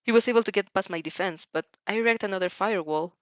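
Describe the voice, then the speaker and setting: A woman's voice: medium pitch, fast, dry recording, lo-fi sound, close to an everyday voice. Woman as composed teenage hero boy. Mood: reassuring. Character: calm, observant teenage boy hero student.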